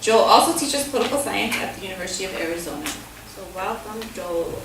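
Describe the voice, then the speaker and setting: Gravelly-voiced woman host in echoey hall. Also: mid-range voice, lively intonation, a little fast. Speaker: event host introducing speakers. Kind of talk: reading speaker introductions. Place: school hall. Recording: very echoey hall, distant, noisy.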